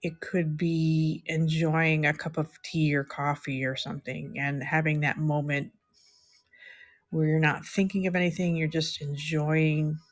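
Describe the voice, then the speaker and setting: A middle-aged woman chatting softly and unhurriedly with viewers while she draws on a livestream. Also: low-pitched, little pitch movement, a little slow, soft background music. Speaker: live-streaming artist. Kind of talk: casual livestream chat while drawing.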